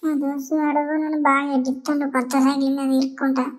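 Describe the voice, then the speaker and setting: A woman's voice: sits high, flat delivery, quick pace, lightly acted. A woman voicing a stubborn, whiny young schoolboy in a comedy cartoon, pleading dramatically in Telangana Telugu. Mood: sulky, threatening.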